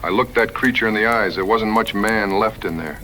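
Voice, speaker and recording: A man's voice: on the low side, moderate pace, sharp tone, a little hiss or hum, rough recording. A man playing a plainspoken, skeptical frontier hunter in an audio drama, earnest and folksy in his backwoods phrasing. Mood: troubled, doubtful.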